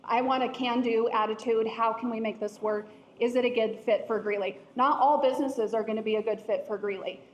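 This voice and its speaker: A woman with a loud voice answering confidently as a city candidate at a forum. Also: on the high side, fairly even intonation, a little fast, muffled recording, a little hiss or hum.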